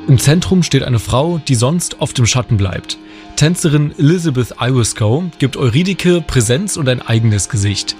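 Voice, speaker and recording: A man's voice: mid-range voice, expressive, normal speed, darkish tone. Male reporter for a scripted radio feature, mid-range voice, music underneath. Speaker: news reporter. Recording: music bed behind the voice.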